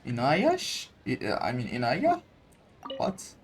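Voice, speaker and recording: Male gaming streamer, casual commentary, unhurried and searching for words, mid-pitched, game music underneath. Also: wildly swooping pitch, background noise. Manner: halting, searching for words, mildly profane.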